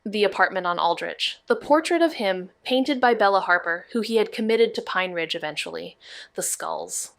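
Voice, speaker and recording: A quick-witted, determined woman investigator in a noir mystery audio drama. Her voice sits at a middle pitch in a dry, close recording. The delivery is natural and conversational, with a playful streak. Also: expressive, vocal fry, a bit bright, dry recording. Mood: focused, investigative. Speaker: actor in a neo-noir mystery podcast.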